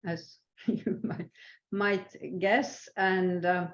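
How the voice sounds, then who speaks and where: An older woman whose native language is Swedish, answering interview questions as a senior guest in a measured, halting, thoughtful way. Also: lowish voice, level tone. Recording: roomy echo, dull lo-fi sound.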